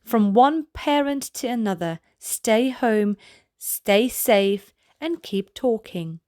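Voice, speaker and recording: A woman narrates a children's read-aloud in a fairly high voice. Her delivery is very slow and deliberate, gently pitched at little listeners. The sound is dry, with hardly any room echo. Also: expressive. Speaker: children's storybook reader, channel host.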